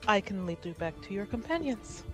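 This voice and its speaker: A woman's voice: mid-range voice, sing-song, fairly quick, faint music behind, close to an everyday voice. A woman playing a polite, deferential household servant with formal, courteous wording.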